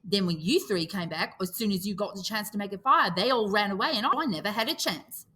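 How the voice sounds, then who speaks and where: A fretful, indignant schoolboy, as a woman reader puts him on, his voice pushed up high and shrill. He pleads in breathless outbursts with a bright, forceful edge. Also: fairly quick, slightly rough.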